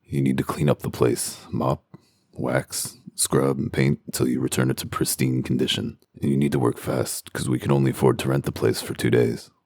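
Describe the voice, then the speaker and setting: A man voicing a gruff, sardonic werewolf detective in a comic dub, with a growling, commanding delivery. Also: level tone, normal speed, a little mellow, dry recording, heavily acted. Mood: curt, weary. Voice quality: very deep, gravelly growl. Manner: dry, sarcastic, commanding.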